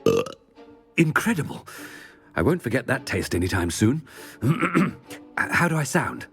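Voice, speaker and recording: A man's voice: mid-range voice, sing-song, unhurried, a bit bright, soft background music. A man playing a student disguised as a professor in a video game, hesitant and polite. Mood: uncertain, polite. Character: student disguised as a middle-aged male professor. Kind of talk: video game character acting.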